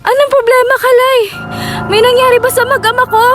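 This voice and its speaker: A woman's voice: very high-pitched, flat delivery, a little fast, over music. A woman with a Filipino accent playing a worried, strict wife and mother in an amateur radio drama. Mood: anxious.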